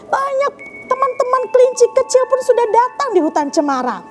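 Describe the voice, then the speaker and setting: Very high-pitched woman telling children's stories. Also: sing-song, a little fast, darkish tone, soft background music. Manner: exclaiming, questioning the young audience. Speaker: children's storyteller. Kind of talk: interactive storytelling for children.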